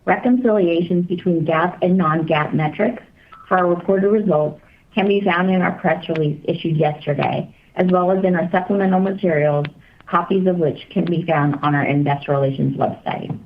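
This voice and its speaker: A woman's voice: lowish voice, moderate pace. A woman reading scripted investor relations notices as the moderator of an earnings call. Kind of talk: scripted corporate disclaimer reading. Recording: dull call audio with faint noise.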